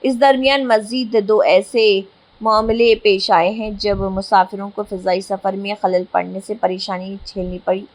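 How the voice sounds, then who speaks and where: Woman reading formal news bulletin, mid-pitched. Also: fairly even intonation, unhurried. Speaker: news presenter. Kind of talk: scripted news narration.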